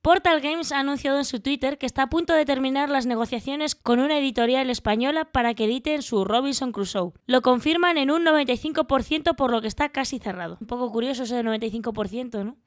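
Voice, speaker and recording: A woman's voice: high-pitched, fast, few pauses, no room sound, clean studio sound. A woman with a Spanish accent from Spain, co-hosting a board game podcast in a playful, teasing way. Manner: playful and teasing, laughs between lines. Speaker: podcast co-host. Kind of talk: casual board game news chat.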